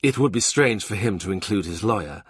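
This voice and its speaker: A man reading a historical novel aloud as an audiobook narrator, in a measured, dry delivery. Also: lowish voice, animated, breathy edge, no echo. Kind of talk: scripted literary narration.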